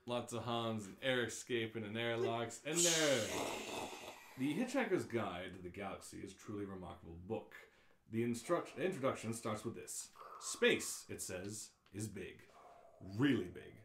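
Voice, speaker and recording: A man's voice: on the low side, big pitch swings, moderate pace, background noise. A man narrating a radio script in a casual live read-through, tripping over words and tossing in his own asides. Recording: echoey room.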